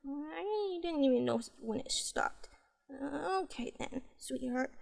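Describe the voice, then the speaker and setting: A woman's voice: high-pitched, lively intonation, takes it slowly, creaky, heavily acted. A woman playing a cute, devoted young catgirl in a bedtime roleplay, speaking in a hesitant, tender way. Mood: tender, hushed.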